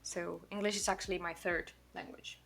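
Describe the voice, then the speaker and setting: A woman from Portugal with a Portuguese accent, speaking English as a non-native language, chatting casually and quickly while she draws on a livestream, full of "like" and self-corrections. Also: fairly low pitch, flat delivery, gravelly, fairly bright tone, faint music behind. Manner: fast-talking, rambling, with frequent "like" and self-corrections. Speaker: artist streaming a drawing session.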